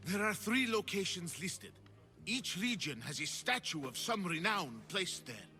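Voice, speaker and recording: An actor playing an excitable, flowery artist of ancient Greece in a video game, theatrical and effusive. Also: male voice, sits high, measured pace, faint music behind, heavily acted. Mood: secretive, earnest. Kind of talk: video game cutscene acting.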